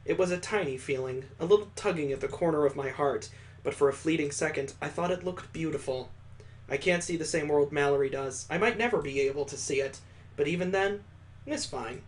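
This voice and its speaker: A man's voice: on the high side, a little fast. A man streaming a visual novel, switching between narration read aloud and casual commentary.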